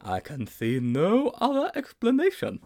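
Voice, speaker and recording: A man's voice: huge pitch swings, unhurried, a little mellow, exaggerated voice. A man voicing a nervous, timid schoolboy who lisps his r's as w's, in an audiobook reading. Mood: anxious, eager. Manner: lisps r as w, squeaky and breathless. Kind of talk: audiobook character voice.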